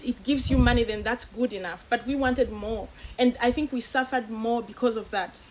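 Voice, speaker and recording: A woman's voice: fairly high pitch, normal speed, a little hiss or hum, low-quality recording. A woman from Zambia talking as a guest, reflecting thoughtfully and casually with a friend. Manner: thoughtful, reflective, many fillers.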